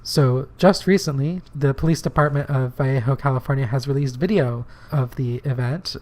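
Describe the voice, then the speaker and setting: A man co-hosting a political podcast, talking earnestly and plainly, his voice slightly hoarse from shouting. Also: medium pitch, moderate pace. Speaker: podcast co-host. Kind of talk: casual political podcast talk.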